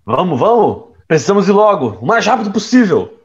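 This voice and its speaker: An urgent young man on the run played by a male actor in an audio drama. His voice is high and animated, and the recording is slightly dull. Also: fairly quick.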